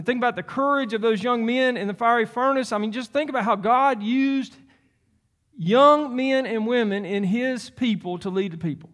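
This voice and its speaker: Animated preacher in his fifties. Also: high voice. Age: 50s. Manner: animated, rising to near-shouts, repeating and restarting phrases. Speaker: pastor. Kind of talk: sermon.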